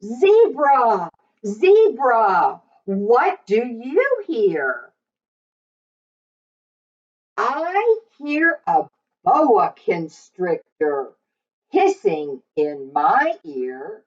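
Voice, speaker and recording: A woman reading a picture book aloud to young children in an expressive, sing-song way. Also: fairly high pitch, drawn-out words, long pauses. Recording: slightly dull, lo-fi recording.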